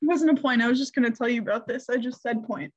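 A young woman chatting with a friend, wandering through her stories and laughing often. Her voice sits fairly high, and the sound is a little dull and muffled. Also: fast. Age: young adult. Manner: laughing, meandering storytelling. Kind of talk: casual chat.

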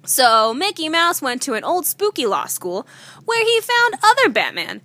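Give this woman a high, bright voice with very lively swings in pitch. She is a podcast co-host doing slangy, mock-serious comic banter and reading. The sound is close and dry. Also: moderate pace, no echo. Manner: giggly, easily cracks up.

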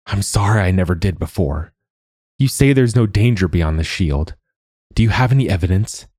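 Man playing a troubled, husky nobleman father. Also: low-pitched, expressive, normal speed, no room sound, studio quality. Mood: urgent, hushed. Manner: hushed, terse, commanding. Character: troubled adult nobleman father, urgent and guarded.

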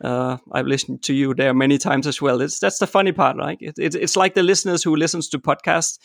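Man, podcast host, fairly high voice, gravelly fry, friendly and affirming, dry close sound. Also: steady tone, a little fast, dry recording. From Europe. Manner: friendly, affirming, repeats "yeah". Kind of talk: casual interview chat.

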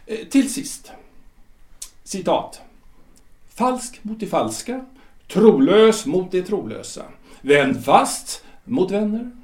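A man playing a wry guide of the dead, high voice. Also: sing-song, a little mellow. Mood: probing. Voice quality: probing, teasing, reads out verdicts with pauses. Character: wry male afterlife guide who judges the dead. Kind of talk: amateur audio drama acting. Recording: echoey room.

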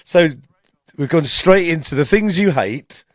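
Croaky man, laughing and self-mocking. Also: on the high side, lively intonation, unhurried, dry recording. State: slightly croaky voice after a cough. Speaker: podcast co-host. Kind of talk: casual chat.

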